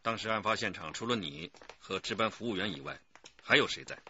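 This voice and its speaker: A man acting a commanding police detective chief in a radio play, clipped and authoritative, with a husky voice. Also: fairly low pitch, animated, fairly quick, sharp tone, muffled recording, slight background noise. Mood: brisk, businesslike.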